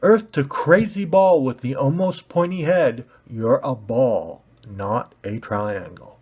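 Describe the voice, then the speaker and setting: A man's voice: medium pitch, slow, darkish tone, slightly rough. A man voicing a smug, rude, self-righteous storybook red ball, with a condescending, emphatic delivery.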